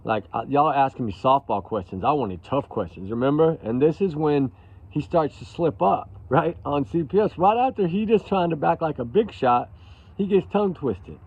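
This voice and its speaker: A man's voice: fairly high pitch, animated, moderate pace, slightly rough. A man chatting casually to his viewers as a host of live commentary, calling them y'all. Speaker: online true-crime commentator and host. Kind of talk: casual live commentary, reacting to played clips. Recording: dull lo-fi livestream audio.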